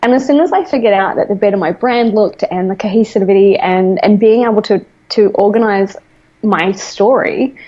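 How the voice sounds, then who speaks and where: A woman's voice: medium pitch, normal speed, warm tone. A woman from New Zealand with a New Zealand accent tinged with Australian, chatting casually as an interview guest and laughing often. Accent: New Zealand with some Australian. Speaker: guest, app founder. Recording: dull lo-fi remote call audio.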